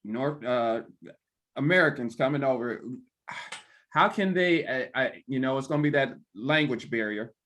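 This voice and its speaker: A man's voice: medium pitch, lively intonation, moderate pace, fairly bright tone. A man hosting an interview, asking questions and giving brief encouraging affirmations. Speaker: host. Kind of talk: conversational interview.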